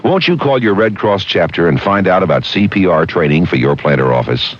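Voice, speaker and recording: A man with a very low, husky voice reads a radio announcement. He is direct and persuasive, lively in intonation, on a dull vintage recording. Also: fairly quick, no echo. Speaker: public service announcer.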